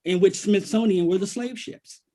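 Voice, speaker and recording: An American man, a writer, speaking reflectively and haltingly in a literary conversation, repeating phrases for emphasis. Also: high-pitched, warm tone. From Washington, D.C., United States. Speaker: poet and writer, guest author.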